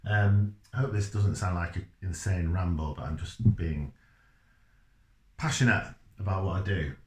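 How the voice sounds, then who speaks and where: A man's voice: deep voice, normal speed. A middle-aged man with a Leeds accent gives an informal, earnest explainer to camera, rambling and interrupting himself. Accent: Leeds, Yorkshire. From Leeds, England. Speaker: children's author. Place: small indoor room by a whiteboard. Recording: echoey room, faint background noise.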